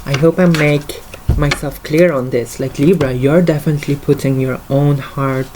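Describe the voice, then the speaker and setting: A man's voice: a little high, steady tone, normal speed, noisy background. A man with a French accent, a native French speaker, giving a casual tarot card reading in English, talking hesitantly with many fillers. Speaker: tarot reader.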